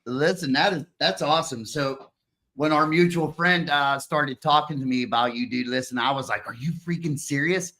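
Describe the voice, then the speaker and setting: Excitable, rambling podcast host man. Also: fairly high pitch, moderate pace. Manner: excitable, rambling, says "dude" and "bro". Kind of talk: casual chat. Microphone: video call.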